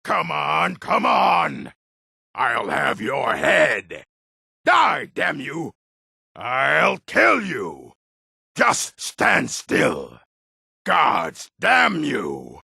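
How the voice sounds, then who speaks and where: An actor playing a gruff, aggressive orc warrior in a video game, with a rough, gravelly voice and a blunt, menacing manner. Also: male voice, fairly high pitch, huge pitch swings, extremely slow, bright, forceful tone, dry recording, clean studio sound, full character voice. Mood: combative, shouting. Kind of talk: video game character barks.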